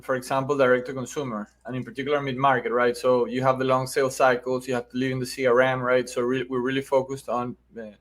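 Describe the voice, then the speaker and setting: A middle-aged man with a Venezuelan accent, explaining things conversationally as an interview guest and often checking in with "right?". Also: medium pitch. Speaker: podcast guest, marketing agency founder.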